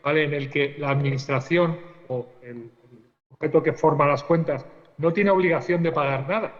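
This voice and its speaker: A man's voice: fairly high pitch, moderate pace. A man from Spain answering a question on a conference panel, explaining at length and checking in with his listeners as he goes. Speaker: public accounting official. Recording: muffled audio in a large reverberant hall.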